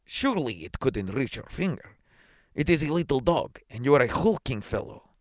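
A man's voice: medium pitch, very animated, moderate pace, no echo, studio quality, voice pushed up, lightly acted. Stern, self-important police superintendent played by a male reader, officious and barking, storybook drama. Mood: accusing. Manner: pompous, barking orders and questions. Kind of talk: dramatised short story reading.

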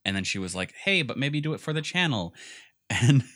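A young man co-hosting a podcast, chatting casually and retelling stories in a relaxed way. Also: medium pitch, brisk, no room sound, clean studio sound. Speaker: podcast co-host. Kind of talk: casual chat.

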